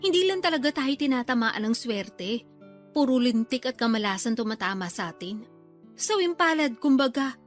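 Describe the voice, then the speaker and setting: Woman acting a pushy, high-voiced mother. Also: lively intonation, moderate pace, faint music behind, close to an everyday voice. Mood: resigned, nagging. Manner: colloquial, nagging, coaxing. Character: pushy, talkative poor provincial mother, middle-aged. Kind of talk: Filipino radio drama acting.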